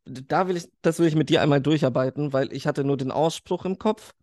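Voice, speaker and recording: A man's voice: fairly high pitch, fairly quick, darkish tone, no echo, clean studio sound. A man co-hosting a casual podcast, joking, crude and laughing often. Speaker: podcast co-host. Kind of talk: casual chat.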